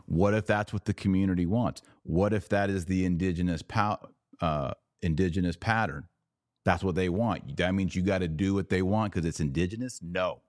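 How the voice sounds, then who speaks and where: A man's voice: low-pitched, normal speed, no echo, clean studio sound. A man hosting a livestreamed commentary podcast, talking emphatically with sarcastic, mocking asides. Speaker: podcast host.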